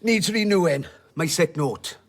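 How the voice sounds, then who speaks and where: An actor playing an indignant, blunt working-class patient in a radio drama. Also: male voice, a little high, lively intonation, unhurried. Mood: blunt, impatient. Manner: blunt, plain colloquial speech. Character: indignant working-class male patient demanding a sick note.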